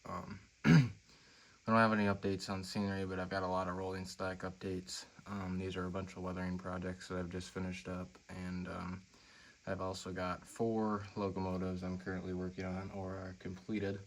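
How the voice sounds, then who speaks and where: An American man hosting a hobby video in casual, unscripted talk, with a slightly raspy voice and a low-key, plain-spoken manner. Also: completely flat delivery, normal speed, a little hiss or hum, poor audio. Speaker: model railroad video host.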